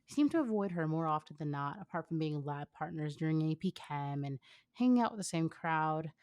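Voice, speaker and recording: A woman's voice: low voice, lively intonation, fairly quick, a little mellow. A young adult woman co-hosting a fan fiction reading, narrating with casual asides.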